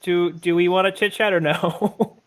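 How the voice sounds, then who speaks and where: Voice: medium pitch, steady tone, moderate pace. A tabletop game player chatting casually and describing what their character does. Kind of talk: casual chat.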